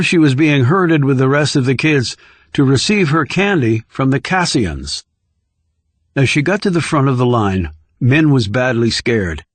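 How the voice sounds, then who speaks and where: A man's voice: mid-range voice, dry recording. A man reading scripted thriller narration as an audiobook narrator.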